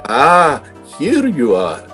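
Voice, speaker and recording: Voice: mid-range voice, wildly swooping pitch, takes it slowly, a bit bright, husky, faint music behind, heavily acted. A performer playing a proper, politely enthusiastic scientist with a formal manner in an old-time radio comedy. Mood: polite, approving.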